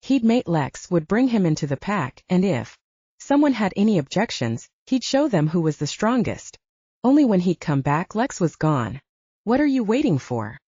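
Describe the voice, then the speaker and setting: A woman's voice: lowish voice, sing-song, moderate pace, warm tone, breathy edge, dry recording, lo-fi sound. A woman reading a scripted story as an audiobook narrator.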